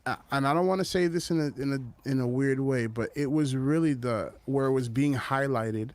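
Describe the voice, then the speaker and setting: A man's voice: mid-range voice, fairly quick, a little mellow. A man talks shop on a podcast in an easy, meandering way, often stopping mid-thought to dig for a name. His voice sits in an ordinary middle range. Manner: rambling, searching for names with many fillers. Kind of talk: casual chat.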